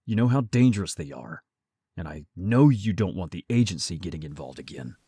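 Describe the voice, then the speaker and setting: A man playing a gruff, protective, teasing werewolf brother with a husky voice and a blunt, guarded way of talking, in an audio drama roleplay. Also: low voice, big pitch swings, fairly quick, warm tone, no room sound, clean studio sound, full character voice. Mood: worried, stern.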